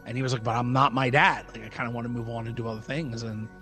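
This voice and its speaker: A man's voice: mid-range voice, steady tone, quick pace, bright, forceful tone, soft background music. A man co-hosting a podcast, talking casually and informally in rambling runs of examples. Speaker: podcast co-host. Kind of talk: casual conversational podcast talk.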